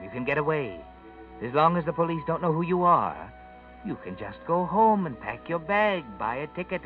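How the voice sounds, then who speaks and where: An actor playing an ominous, taunting, all-knowing narrator of a 1940s radio suspense drama. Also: male voice, medium pitch, natural voice. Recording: tinny distant old radio recording, music behind.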